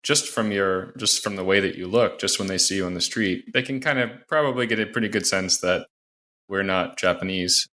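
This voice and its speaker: A man hosting a podcast interview, speaking in a measured way with many hedging fillers. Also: deep voice, pushed and bright. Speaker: podcast host.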